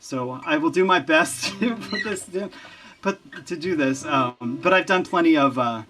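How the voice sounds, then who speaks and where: Laid-back, halting male guest. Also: a little high, lively intonation, a bit bright, faint music behind, rough recording. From Lehigh Valley, Pennsylvania. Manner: easygoing, halting, with many fillers and restarts. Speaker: recording engineer and musician guest. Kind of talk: casual interview chat.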